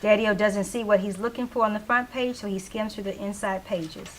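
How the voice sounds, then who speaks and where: A woman narrates a play reading with scripted stage directions. Her voice sits at a medium pitch. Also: steady tone, fairly quick, a little hiss or hum.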